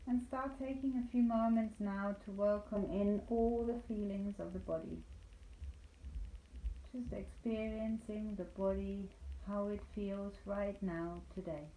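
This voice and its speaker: A woman guides a meditation as a yoga nidra instructor. Her tone is mellow and relaxed, with a soothing, deliberate delivery full of pauses. The recording is roomy and echoing, with a faint layer of background noise. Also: medium pitch.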